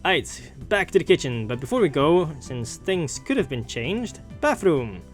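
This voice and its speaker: A gaming video host reading a visual novel aloud in an animated way and reacting to it. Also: medium pitch, measured pace, soft background music. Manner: animated, voices the characters while reading.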